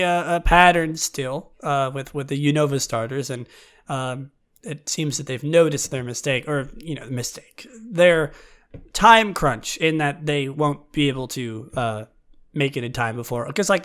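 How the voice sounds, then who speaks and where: A man hosting a fan podcast with casual news commentary, talking in a halting, rambling way with repeated words. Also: on the high side, fairly bright tone. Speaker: podcast host.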